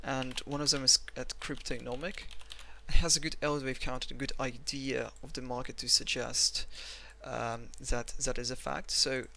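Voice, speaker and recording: Gravelly Russian-accented man, bright tone. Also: medium pitch, little pitch movement, moderate pace. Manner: self-mocking, rambling, blunt. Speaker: trading analyst. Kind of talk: screen-recorded chart analysis.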